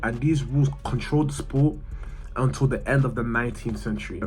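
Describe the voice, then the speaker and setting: A man's voice: mid-range voice, brisk, a little mellow. A man reading a scripted sports history narration for an explainer video, sometimes stumbling and repeating words. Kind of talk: scripted narration, read aloud. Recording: music behind the voice. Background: background music.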